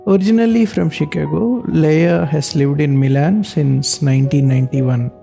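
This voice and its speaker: A male podcast host reads his guest's introduction carefully and pauses now and then. His voice is slightly high for a man, but dark and mellow with a gravelly edge. Faint instrumental music sits beneath it. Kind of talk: scripted introduction and interview questions.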